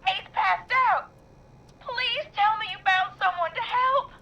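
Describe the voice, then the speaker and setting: A woman playing a frightened, pleading young caller in a video game, distraught and halting, over a telephone. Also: very high voice, bright, forceful tone, a little hiss or hum, full character voice. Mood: desperate, soothing. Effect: telephone line.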